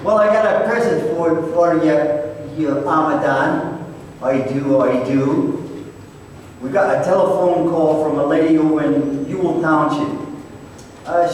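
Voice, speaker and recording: A man's voice: fairly high pitch, lightly acted. A man playing a sarcastic, needling but loyal private-eye partner in an old time radio drama. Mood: businesslike banter. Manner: sardonic, bantering. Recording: echoey hall, distant mic, faint noise.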